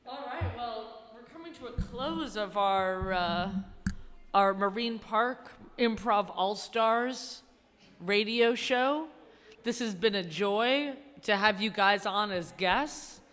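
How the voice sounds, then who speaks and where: Female radio host, casual and playful, bright tone, reverberant room. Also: medium pitch, slow. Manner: informal, playful. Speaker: host, improv teacher. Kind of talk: casual interview, prompting guests. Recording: echoey hall, some background noise.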